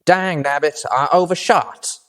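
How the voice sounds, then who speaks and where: A man's voice: fairly high pitch, measured pace, a bit bright, pitched above the performer's own voice. A man voicing a blunt male pegasus mercenary who talks in a folksy twang and curses casually. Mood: brash.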